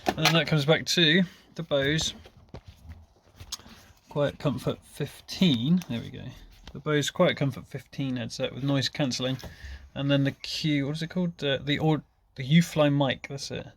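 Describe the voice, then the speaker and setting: A man's voice: mid-range voice, a little slow, fairly bright tone. A man talking casually and off the cuff as he presents a hands-on DIY demonstration, informal and self-deprecating. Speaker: car hobbyist presenting a DIY video.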